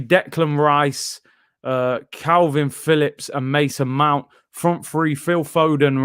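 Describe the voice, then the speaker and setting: A man's voice: a little high, fairly bright tone, clear, resonant tone, no room sound, studio quality. A young man hosting a casual live stream, chatting loosely and reading out viewer comments. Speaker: watchalong host reading chat.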